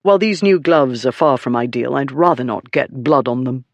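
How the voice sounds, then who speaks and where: A narrator voicing a duke who speaks mildly and lazily, with a quiet menace underneath. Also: medium pitch, normal speed, dry recording, lightly acted. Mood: menacing. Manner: calm, lazy, quietly menacing. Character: mild, lazy, dangerous young duke.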